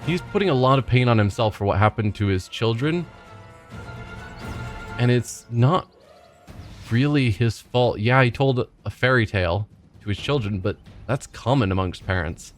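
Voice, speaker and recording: A man's voice: mid-range voice, moderate pace, faint music behind. A man hosting a let's play, thinking aloud hesitantly in casual commentary as he plays.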